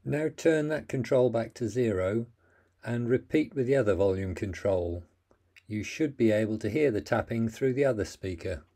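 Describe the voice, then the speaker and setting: A man's voice: medium pitch, animated, normal speed, warm tone. A man narrating a plain, methodical how-to voice-over, giving step-by-step instructions with the odd small slip.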